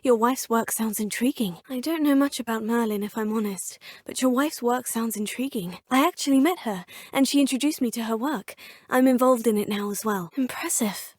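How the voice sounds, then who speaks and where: Woman playing a young witch student, polite, formal, measured, fairly high voice, dry close studio sound. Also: darkish tone, dry recording, close to an everyday voice. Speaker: player character. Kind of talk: video game voice lines.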